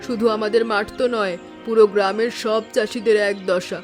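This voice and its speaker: A middle-aged woman voicing a kindly, steadfast village mother in an animated family drama. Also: mid-range voice, a little fast, close to an everyday voice. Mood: soothing. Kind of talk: animated moral story voice acting. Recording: faint music behind the voice.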